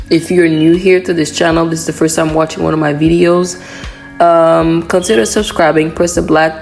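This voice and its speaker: A woman vlogger talks through her morning routine in a voiceover. Her voice is hoarse from a cold and sits low with a gravelly edge. Soft music plays faintly behind her. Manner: relaxed, chatty, many "um" fillers.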